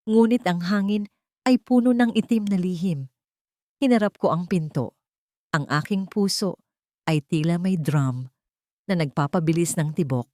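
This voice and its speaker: A woman narrating a dramatic first-person story in a deliberate, suspenseful way. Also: lowish voice, a little mellow, no room sound, studio quality. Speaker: audiobook narrator.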